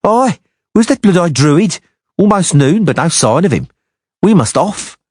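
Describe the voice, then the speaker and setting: A man's voice: high voice, big pitch swings, measured pace, no echo, clean studio sound, pitched above the performer's own voice, heavily acted. A man voicing a giant brutish mercenary who cackles as he speaks, in an audiobook reading. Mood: jeering. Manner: cackling, loud.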